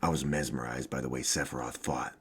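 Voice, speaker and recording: A man's voice: rumbling low, steady tone, fairly quick, a bit bright, slightly rough, full character voice. An actor playing a young male mercenary telling his past in a cocky, awkward way in a game parody. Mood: reflective. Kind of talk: voiced role-play parody of a video game.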